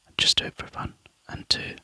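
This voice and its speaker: A Scottish man chatting to viewers in a soft, rambling ASMR whisper, close to the mic. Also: fairly quick, no echo, rough recording. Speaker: ASMR YouTuber.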